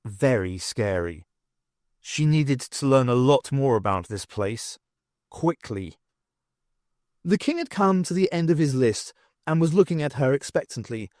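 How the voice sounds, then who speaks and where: A man reading an audiobook aloud, narrating with an expressive, lively delivery. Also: mid-range voice, a little slow, dry recording, clean studio sound. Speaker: audiobook reader. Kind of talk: storybook narration.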